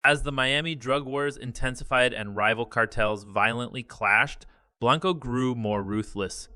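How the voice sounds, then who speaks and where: Man, news narration, bright forceful voice, dry close sound, measured. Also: mid-range voice, no echo.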